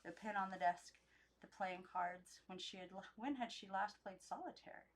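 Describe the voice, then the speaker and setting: A young adult woman narrates a children's novel. Her voice sits at a medium pitch. She reads expressively and without polish, sometimes correcting herself as she goes. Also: moderate pace. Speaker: amateur book reader. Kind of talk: unedited storybook read-aloud.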